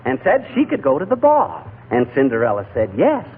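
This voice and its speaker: An actor playing a folksy, middle-aged, easily flustered husband in a 1940s radio comedy. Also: male voice, medium pitch, huge pitch swings, brisk. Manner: folksy, fussing, exasperated, mixes slang with pompous words. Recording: noisy, narrow-band 1940s radio recording.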